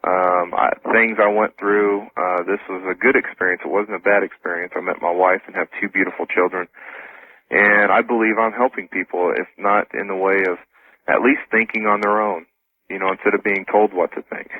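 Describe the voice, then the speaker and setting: A man speaking as a radio interview guest, casual and informal, explaining things haltingly. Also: deep voice, fairly even intonation. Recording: tinny phone-like radio broadcast.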